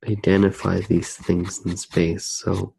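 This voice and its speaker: A man speaks in a low, very breathy and husky voice, hushed and close to the microphone. He explains a drawing step by step as ASMR, slowly and with little rise or fall in pitch. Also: flat delivery, no echo, poor audio. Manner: soft-spoken, hushed, unhurried. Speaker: ASMR artist narrating a drawing.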